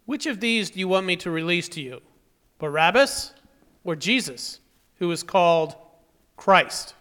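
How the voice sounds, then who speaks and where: A man's voice: on the high side, lively intonation, a little slow, a bit bright. A man playing a commanding, exasperated Roman governor in a scripture drama, declaiming insistently. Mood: proclaiming. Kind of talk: dramatised scripture audio acting.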